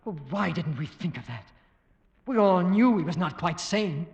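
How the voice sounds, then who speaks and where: An actor playing a bold, decisive hunter in a 1940s radio drama, with a clipped, commanding manner. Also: male voice, high-pitched, lively intonation, moderate pace, darkish tone. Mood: grim. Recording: reverberant old radio studio, dull sound.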